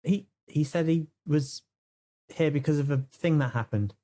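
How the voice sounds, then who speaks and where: A hesitant young magic student voiced by a woman in a tabletop game. The voice is deep and soft-edged, with a flustered, literal manner. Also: moderate pace, natural voice. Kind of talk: improvised tabletop roleplay in character.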